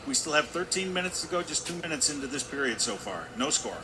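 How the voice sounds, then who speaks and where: A man with a fairly high voice gives color commentary on live radio hockey. He explains the play in a conversational, somewhat halting way. There is clear arena noise in the background. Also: very animated.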